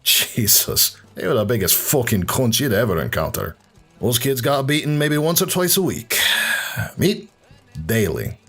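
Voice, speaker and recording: A man's voice: medium pitch, sing-song, measured pace, fairly bright tone, slightly rough, soft background music, full character voice. A man playing a brash, sweary Irish drunk. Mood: bitter, reminiscing. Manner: sweary, flippant, colloquial. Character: brash, foul-mouthed Irish drunken brawler, defiant and sardonic. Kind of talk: fan fiction audio drama.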